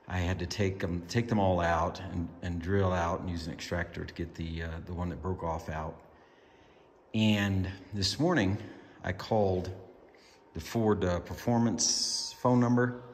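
A man with a deep voice talks casually through his car build in a garage workshop. He sounds easygoing and matter-of-fact as he explains things from behind the camera. The room rings with long reverb and has clear background noise. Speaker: hobbyist car builder presenting a build update. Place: indoor home garage workshop. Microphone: handheld camera, speaker behind it.

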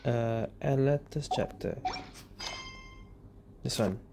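A woman's voice: very deep voice, slow pace, vocal fry, noisy background. A woman gaming streamer giving casual playthrough commentary in short, muttered reactions.

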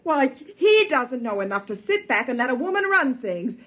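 A woman's voice: high-pitched, very animated, normal speed, fairly bright tone, full character voice. A woman playing a proud, bossy young lady who scorns men, in emphatic 1950s radio drama style. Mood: indignant. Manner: haughty, emphatic. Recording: muffled 1950s radio recording.

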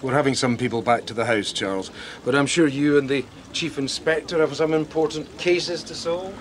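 An actor playing an indignant, grieving doctor, exasperated and emotional, in a radio drama. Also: male voice, medium pitch, normal speed, a little hiss or hum, lightly acted. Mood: stern. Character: indignant, grieving Scottish male doctor, a widower.

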